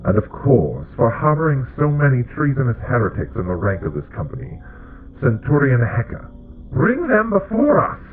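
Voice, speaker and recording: A man voicing a cruel, fanatical herald in a fantasy audiobook, thin and shrill, with a commanding, theatrical delivery through a filter. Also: huge pitch swings, normal speed. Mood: sneering, commanding. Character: masked male herald, cruel fanatical squealing villain. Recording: thin, narrow-band filtered voice.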